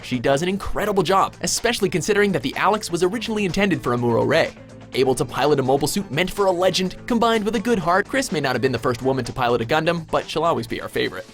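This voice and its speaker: Male countdown narrator, quick and punchy, slightly high-pitched, playful scripted read over light music. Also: lively intonation, fairly bright tone. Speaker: narrator of a top ten countdown. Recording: music behind the voice. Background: background music.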